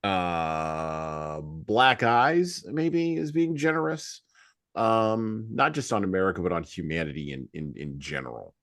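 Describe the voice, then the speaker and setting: Very deep-voiced man hosting a podcast. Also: a little slow, a bit bright, no echo, clean studio sound. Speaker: podcast host. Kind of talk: reflective book discussion.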